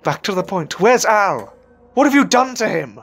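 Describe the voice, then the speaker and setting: An actor playing an earnest Victorian gentleman warlock in an audio drama, formal and questioning. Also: high-pitched, very animated, normal speed, vocal fry, faint music behind, full character voice. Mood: indignant.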